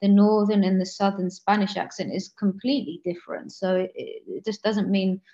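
A woman's voice: mid-range voice, fairly even intonation, normal speed, dark tone. Earnest Englishwoman with a dull lo-fi sound. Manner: earnest, many restarts and self-corrections. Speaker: guest author. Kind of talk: casual interview conversation. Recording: slightly dull lo-fi audio.

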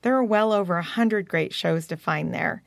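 A woman's voice: on the low side, normal speed, gravelly, no room sound, clean studio sound. An American woman hosting a podcast, reading scripted credits and thank-yous. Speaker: podcast host.

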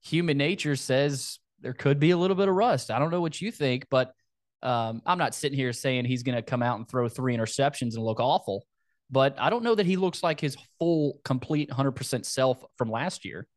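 An American man in a mid-pitched voice talks casually as a sports podcast host. The sound is close and dry, and he talks through the game preview conversationally, as if to a co-host. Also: normal speed, no echo. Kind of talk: casual football preview chat.

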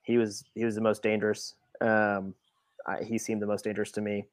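A man on a casual sports podcast panel, speaking haltingly and repeating his phrases. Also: fairly low pitch, level tone, no room sound, studio quality.

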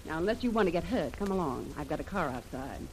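An actress playing a bold, scheming, hard-boiled adventuress who demands and threatens in 1930s radio serial style. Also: female voice, low-pitched, animated, fast talker, darkish tone. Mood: threatening. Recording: noisy old radio drama recording.

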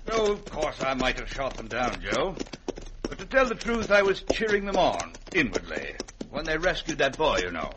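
An actor playing a cold-blooded, philosophizing gentleman villain in a radio western, with a pompous, condescending and theatrical way of talking. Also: mid-range voice, very animated, normal speed, close to an everyday voice. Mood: smug, amused. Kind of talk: old-time radio western acting. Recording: noisy vintage radio broadcast recording.

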